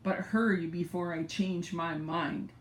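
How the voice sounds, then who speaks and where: A huge, grumpy lion king, put on by a grandmother, speaks in a lowered, gruff and scornful voice. The growl sits well below her usual pitch. There is room echo and a faint hiss behind it. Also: fairly even intonation, unhurried, lightly acted. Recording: echoey room, faint background noise.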